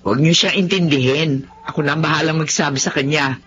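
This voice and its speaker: An actress playing a blunt, expressive older Filipino grandmother in a Tagalog radio drama. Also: deep voice, fast, a little hiss or hum. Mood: blunt, dismissive. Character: blunt elderly mother, careless grandmother with a guilty streak. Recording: muffled radio drama audio with music.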